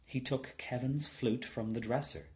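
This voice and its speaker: A man's voice: medium pitch, fairly even intonation, measured pace. A man narrating a children's storybook as a scripted reading. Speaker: storybook reader.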